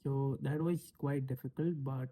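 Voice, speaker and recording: Very mellow Indian-accented young man. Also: mid-range voice, steady tone, measured pace, poor audio. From Delhi, India. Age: late 20s. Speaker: panel guest, analytics manager. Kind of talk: conversational self-introduction and answers.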